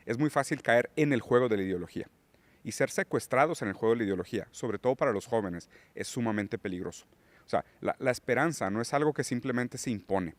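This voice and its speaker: A man's voice: medium pitch, no room sound. A man who is a guest on a political podcast, lecturing on theory and rising into emphatic points. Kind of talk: political conversation.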